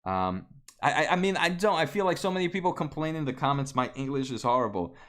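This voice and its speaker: A man's voice: a little high, animated, fast talker, fairly bright tone. A man in his late twenties, a native Spanish speaker, hosting a casual stock livestream, answering viewers with many fillers and restarts. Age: 20s. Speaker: stock market livestream host. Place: home room at a desk.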